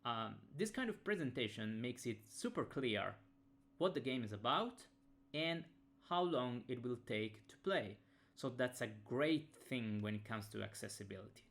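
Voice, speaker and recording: A man with an Italian accent, Italian his first language, talking through an unboxing on a webcam, with many pauses and fillers. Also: mid-range voice, lively intonation, fairly bright tone. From Italy. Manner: hesitant, many fillers and restarts. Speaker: game designer reviewing a game. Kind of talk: casual unboxing talk.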